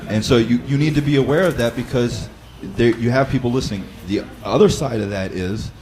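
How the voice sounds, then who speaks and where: A man with a loud voice who leads a Bible study, explaining practical advice in a conversational way with frequent fillers and restarts. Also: mid-range voice, fairly quick, crowd noise, poor audio. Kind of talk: instructional talk.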